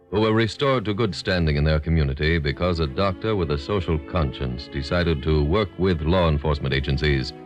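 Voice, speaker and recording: A man delivering a grave, formal, exhortatory scripted appeal as the narrator of a radio drama. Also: a bass voice.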